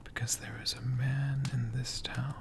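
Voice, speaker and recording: A man in a friendly dragon persona, telling stories in a slow, hushed ASMR whisper with playful asides to the listener. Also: mid-range voice, level tone, gravelly, noisy background.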